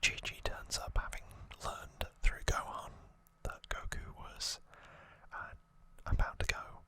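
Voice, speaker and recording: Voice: takes it slowly, pushed and bright. An ASMR host whispering an unscripted story recap in a hesitant, slightly wry way.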